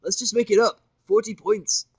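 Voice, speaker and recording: A man voicing a small, playful, teasing filly pony with a squeaky put-on voice. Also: steady tone, normal speed, gravelly, breathy edge, dry recording, exaggerated voice.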